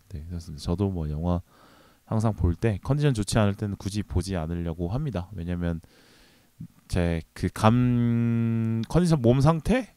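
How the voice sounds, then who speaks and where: Male podcast host, low-set voice, laid-back rambling delivery, dry close mic. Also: no echo. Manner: relaxed, rambling, many fillers and trailing remarks. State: recovering from a cold. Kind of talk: casual solo movie podcast talk.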